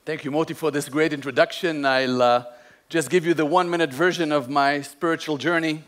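A man's voice: on the high side, fairly even intonation, brisk, a bit bright. A middle-aged man, preaching earnestly from a theater stage on a headset mic, his voice dropping at the ends of phrases. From Israel. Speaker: main speaker. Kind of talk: sermon.

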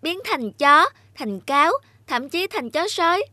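A woman's voice: high voice, lively intonation, a little slow, pushed and bright, dry recording, heavily acted. A woman voicing a clever, cunning male cat in a cartoon fairy tale, eloquent and courtly in manner. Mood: sly, flattering.

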